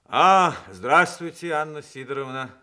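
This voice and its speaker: An actor playing an ailing, middle-aged war-veteran commander in a Soviet radio play, sighing, plain-spoken and courteous. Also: male voice, fairly high pitch, measured pace, sharp tone, a little hiss or hum. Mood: hearty, courteous.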